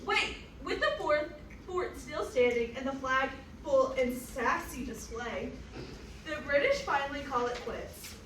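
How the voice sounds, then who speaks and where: A woman with a high, bright voice narrates a scripted comedy of history. She is theatrical and drops sardonic asides. The recording is roomy and distant. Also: animated, unhurried. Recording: roomy, distant mic, faint noise.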